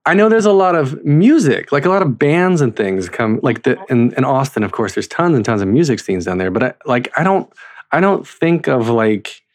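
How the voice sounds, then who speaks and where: An American man with a medium-pitched voice hosts a relaxed conversational interview. He feels his way through sentences, rambling and restarting as he talks. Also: normal speed. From North Carolina, United States. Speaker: interviewer, host.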